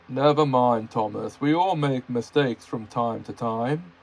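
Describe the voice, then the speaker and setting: A man's voice: medium pitch, slow, a little mellow, a little hiss or hum, lightly acted. A man voicing a stern older male railway boss who gives orders and scolds.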